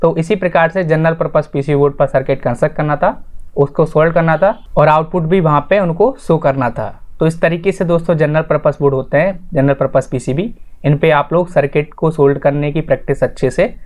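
A man's voice: on the high side, a little fast, a little mellow. A man hosting an informal explanatory voice-over lesson, addressing viewers directly in an instructional way and restating his points. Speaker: tutorial host.

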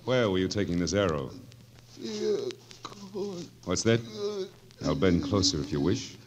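Sly, low-voiced cruel king. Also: expressive, unhurried, a little hiss or hum, rough recording. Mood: mock-genial menace. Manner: wheedling, mock-genial menace. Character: sly, cruel, sardonic old-world king of France. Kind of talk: 1940s radio acting.

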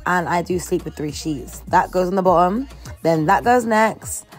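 A young woman with a London accent talks in a slightly low voice. She is informal and playful, full of slang, as if chatting to her followers about her morning. Light music plays behind her. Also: moderate pace. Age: early 20s. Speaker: vlogger. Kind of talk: casual, chatty morning-routine vlog narration.

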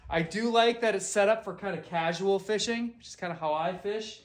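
A man's voice: high-pitched, a little fast. A man in his forties doing a casual, upbeat kayak review in an indoor garage, talking into a camera held at arm's length. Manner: upbeat, conversational, a few restarts. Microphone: handheld camera at arm's length.

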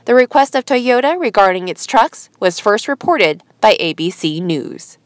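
A woman host reading scripted news headlines in a formal, measured newsreader manner. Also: medium pitch, very animated, no echo.

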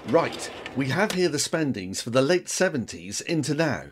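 A man's voice: medium pitch, very animated, slight background noise, natural voice. A man playing a bossy, overconfident PR man. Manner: brisk, commanding.

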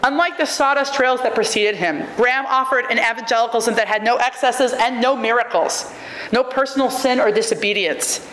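A woman in her thirties giving a keynote lecture, reading a prepared academic text at a podium microphone in a large auditorium with a measured, wry, emphatic delivery. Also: on the high side, fairly bright tone. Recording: echoey auditorium, faint room noise.

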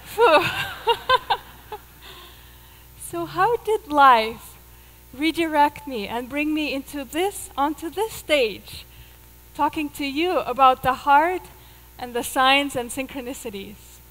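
A woman's voice: high voice, animated, slow pace, long pauses, a bit bright, big-room sound, rough recording. A woman in her thirties from Russia with a Russian accent, telling a personal story in an earnest way as a speaker on a theater stage, miked with a headset. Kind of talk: live stage talk.

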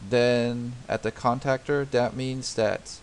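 A man's voice: mid-range voice, fairly even intonation, slow, slight background noise. A man narrating a home repair tutorial over a diagram, explaining step by step with many fillers and restarts. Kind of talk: unscripted screen-recorded tutorial narration.

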